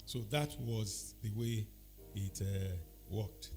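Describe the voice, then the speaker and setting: A middle-aged or older man with a Nigerian accent gives an anecdotal talk, explaining deliberately in short phrases with pauses. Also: on the low side, warm tone, soft background music. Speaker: speaker giving a talk.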